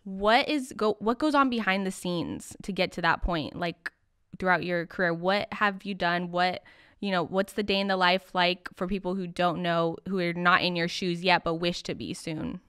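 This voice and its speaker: Female interviewer, crisp and bright, casual, chatty, encouraging podcast host. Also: fairly low pitch, normal speed, dry recording, clean studio sound.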